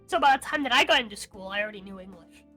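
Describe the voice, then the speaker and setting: Young female streamer, native Spanish speaker of US-Cuban background. Bright, sharp tone, excitable and sweary, casual interview chat. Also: mid-range voice, racing, faint music behind. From United States, of Cuban parents. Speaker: online streamer being interviewed.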